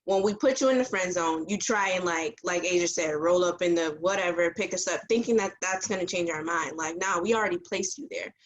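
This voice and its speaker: A woman's voice: on the low side, fairly quick, few pauses, a little hiss or hum, rough recording. A woman in her thirties co-hosting a casual podcast, talking frankly and straight up. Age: 30s. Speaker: podcast co-host. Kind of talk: casual group chat.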